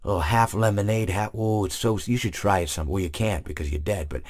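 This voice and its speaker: An actor playing a friendly, long-winded animatronic hippo who rambles through meandering stories, full of restarts. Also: male voice, fairly low pitch, fairly quick, darkish tone, lightly acted. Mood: rambling.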